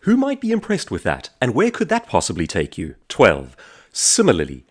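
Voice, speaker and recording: A man's voice: mid-range voice, wildly swooping pitch, normal speed. A man presenting a motivational business talk in an articulate manner, often posing questions to the listener. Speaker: host, author and speaker.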